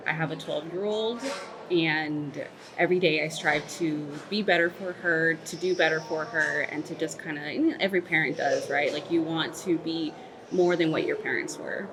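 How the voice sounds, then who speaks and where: A woman's voice: sits low, moderate pace, background noise. A woman in her thirties, born in Mexico and raised in Texas, talking thoughtfully in an interview with lots of "like" and "um" fillers. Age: 30s. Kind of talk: candid reflective interview.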